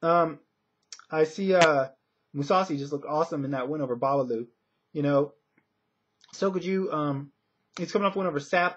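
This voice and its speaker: A man giving casual sports predictions in a hesitant, conversational way, as a commentator would. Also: fairly high pitch. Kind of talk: casual fight prediction talk. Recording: dull lo-fi audio.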